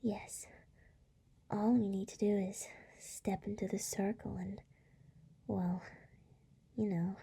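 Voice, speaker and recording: A woman voicing a seductive, tender demon lord in an intimate ASMR roleplay, speaking softly and coaxingly with a breathy, often whispering voice. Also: medium pitch, steady tone, exaggerated voice. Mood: tender, grateful. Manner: slow, soft, coaxing. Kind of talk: scripted ASMR roleplay, intimate address to listener.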